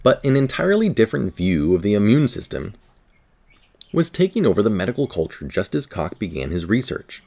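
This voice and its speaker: A man reading a scientific article aloud in a careful, measured manner. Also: on the low side, big pitch swings, vocal fry, a little mellow. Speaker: article reader.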